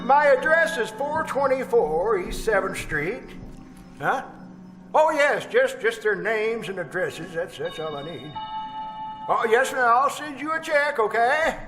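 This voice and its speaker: A man's voice: high-pitched, rough recording. A man playing a smarmy, shifty employer in an old-time radio drama, fussily polite, hesitant and prone to chuckle. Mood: fussy, businesslike. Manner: hesitant, chuckling, over-polite, flustered delivery. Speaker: amateur radio troupe actor. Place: live performance at a winery. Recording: live stage performance with music.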